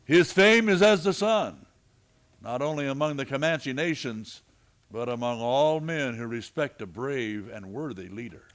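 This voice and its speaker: An actor playing a steady, commanding, fair-minded frontier marshal and narrator in a western radio play. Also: male voice, mid-range voice, a little slow, close to an everyday voice. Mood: courteous. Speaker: lead character and narrator.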